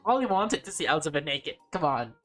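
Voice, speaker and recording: Woman, lowish voice, unhurried, casual game read-aloud, halting delivery, stumbling and correcting herself, music behind. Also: sing-song, fairly bright tone. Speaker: gameplay streamer reading a visual novel aloud. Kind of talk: casual let's-play read-aloud with commentary.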